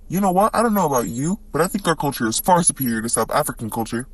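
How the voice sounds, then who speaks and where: Man acting smug, animated Boer colonizer puppet. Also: mid-range voice, fast, no echo. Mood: smug, scheming. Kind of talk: scripted amateur puppet show dialogue.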